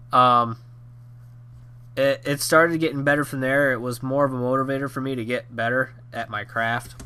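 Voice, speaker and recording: A man of twenty telling a story from his own life in a rambling, casual way, slightly hoarse and nasal from a cold. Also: mid-range voice, pushed and bright, a little hiss or hum. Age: 20s. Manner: rambling with many fillers and restarts. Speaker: YouTube storyteller.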